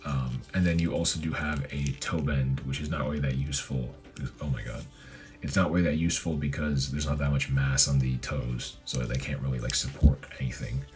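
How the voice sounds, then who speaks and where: Very deep-voiced, hoarse man reviewing toys. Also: steady tone, brisk, over music. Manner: rambling, filler-heavy, self-correcting. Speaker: toy reviewer. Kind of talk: casual product review.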